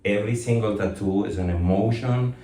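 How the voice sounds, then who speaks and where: A man in his fifties from Colombia speaks in a very low voice, thoughtful and unhurried, often pausing on fillers and restarting his sentences. He sits a little back from the mic, and the room around him sounds echoey. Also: mellow, slight background noise. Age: 50s. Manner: reflective, with frequent fillers and restarts. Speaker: guest. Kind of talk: casual interview. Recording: roomy echo, mic at some distance.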